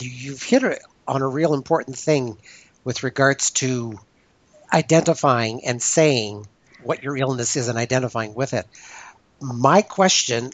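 A man hosting a casual discussion, explaining his ideas in a thoughtful, measured way. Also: medium pitch, no room sound. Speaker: podcast host.

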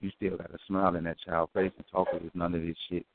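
A man's voice: low voice, level tone, fast talker, a crowd in the background. A man co-hosting a call-in show, talking in a loose, emphatic way about spiritual ideas. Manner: loose, emphatic street talk. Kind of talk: casual spiritual chat. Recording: dry tinny phone line.

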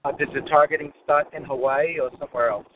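A man's voice: a little high, steady tone, fast. Man calling in from his car, rambles and restarts, thin phone line, faint hiss. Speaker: caller. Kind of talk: call-in question. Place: car, driving to work.

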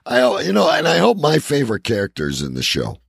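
A man hosting a casual comedy podcast with a gravelly voice, chatting and plugging shows, stretching words like an announcer for emphasis. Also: mid-range voice, wildly swooping pitch, a little fast, fairly bright tone, no room sound, studio quality. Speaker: podcast host.

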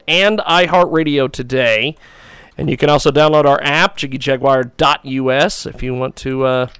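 A man's voice: on the high side, vocal fry, a bit bright, dry recording. A man hosting an online radio talk show, reading hype-filled promos and interviewing a guest over a call. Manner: repetitive, salesy, hyping.

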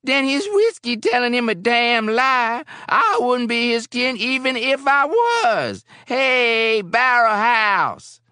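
A man's voice: extremely high pitch, very animated, slow, few pauses, pushed and bright, clear, resonant tone, no room sound, studio quality, voice pushed up, heavily acted. A man voicing a tall, scornful, suspicious drinker itching for a fight, with an indignant drawl, in an audiobook. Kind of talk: audiobook character acting.

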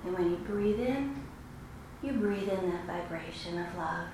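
A woman guiding a meditation in a slow, soothing, instructive way with long pauses. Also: on the low side, lively intonation. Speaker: meditation guide. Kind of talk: guided meditation. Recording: very echoey room, clearly noisy background.

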